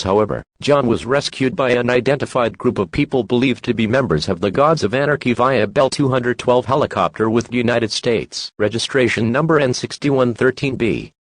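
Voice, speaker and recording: Guy reading an emergency broadcast, mid pitch, formal and emotionless, very dry audio. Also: no room sound. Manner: flat, impersonal. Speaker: emergency alert announcer. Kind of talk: formal scripted emergency bulletin.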